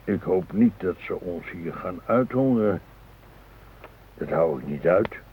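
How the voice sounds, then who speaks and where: An actor playing a worried, complaining male hostage in a radio drama, fretful and drawn-out in his speech. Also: low-pitched, lively intonation, phone-quality sound, slight background noise.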